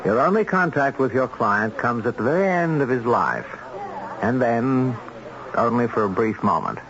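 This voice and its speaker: An actor playing a cool, businesslike middleman for contract killers in an old-time radio drama, speaking in a measured, detached way. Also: mid-range voice, very animated, a crowd in the background. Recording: dull old radio recording with music.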